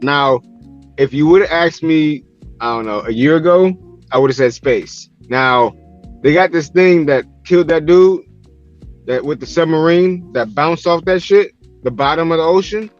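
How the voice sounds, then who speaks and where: A man's voice: on the high side, normal speed, faint music behind. A man in his late thirties teasing and ribbing the others as a podcast guest on a video call. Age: 30s. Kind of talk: casual banter. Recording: dull video call audio.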